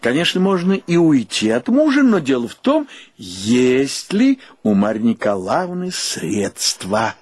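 A man in a radio play acting a sardonic sceptical bachelor who speaks in a measured, ironic, matter-of-fact way. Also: medium pitch, very animated, dry recording, studio quality.

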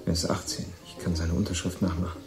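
An actor playing a guarded, hesitant teenage runaway boy in a radio drama, speaking haltingly. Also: low voice, little pitch movement, over music, natural voice. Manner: halting, reticent.

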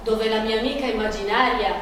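An actress playing a dreamy woman painter who recalls her childhood in a slow, wistful theatrical monologue. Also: a little high, close to an everyday voice. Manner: slow, wistful storytelling with expressive pauses. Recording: distant echoey hall with guitar music. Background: guitar music.

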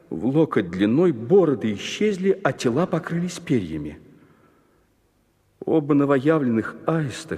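A man narrating a fairy tale from a script in an expressive storyteller's style. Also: on the high side, slightly rough, in a large hall.

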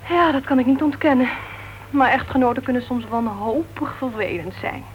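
An actress playing an outspoken, flirty married woman who writes crime novels, brisk and blunt, in a Dutch radio play. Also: high-pitched, close to an everyday voice. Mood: teasing, opinionated. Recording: dull, noisy old radio recording.

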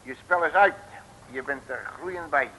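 An actor playing a cocky, folksy detective in an old Dutch radio drama, slangy and boastful. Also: male voice, on the high side, unhurried, sharp tone. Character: cocky, folksy male detective, fond of his uncle's sayings. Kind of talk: 1950s-60s Dutch radio drama acting. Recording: noisy old radio recording.

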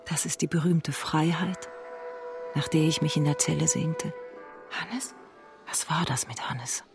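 Radio drama: troubled, steadfast female revolutionary, intimate and earnest, clear music underneath. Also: low-pitched, unhurried. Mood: anguished, whispering. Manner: earnest, intimate, often dropping to a whisper. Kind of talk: German radio drama acting.

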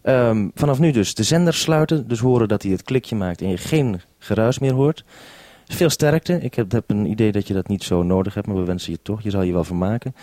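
A man's voice: on the low side, fairly quick, mellow, no echo. A man talking over a two-way radio link as a programme maker, hesitant and considerate, handing over as he goes. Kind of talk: two-way radio conversation with handovers.

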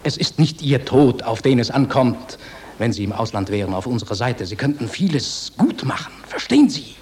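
An actor playing an earnest, idealistic officer in a German radio drama, solemn and intense. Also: male voice, mid-range voice, sing-song, moderate pace, a little mellow, slight background noise, lightly acted. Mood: pleading, intense. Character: earnest, idealistic officer, urgent man of conscience.

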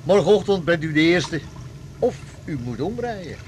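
A man's voice: on the high side, very animated, moderate pace. An actor playing a chatty, nosy ferryman, blunt and folksy, in a naturalistic radio drama. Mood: matter-of-fact. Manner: blunt, folksy, tags remarks with questions. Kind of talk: naturalistic 1980s Dutch radio drama acting. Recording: loud engine-like noise behind the voice.

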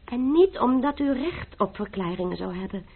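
Coy young marquise. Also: female voice, a little high, animated, normal speed, gravelly, slightly rough, exaggerated voice. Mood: haughty. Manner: coquettish, teasing. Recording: tinny old radio broadcast.

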